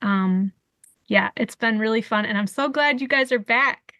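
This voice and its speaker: A woman's voice: fairly high pitch, moderate pace, bright, forceful tone, no echo, muffled recording. A woman from Detroit chatting casually as a guest host on a fan podcast, rambling with many fillers. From Detroit, Michigan.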